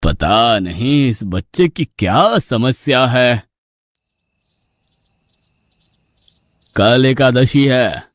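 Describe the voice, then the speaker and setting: A man playing a patient, gently exasperated god in an animated mythological story, instructing in a calm, indulgent way. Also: mid-range voice, slow. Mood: calm, admonishing.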